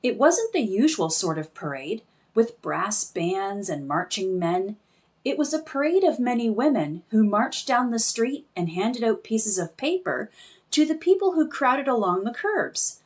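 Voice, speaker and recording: A woman reads a children's storybook aloud. Her voice sits a little high and moves through lively, animated rises and falls in an expressive storytelling style. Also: measured pace. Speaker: children's book reader.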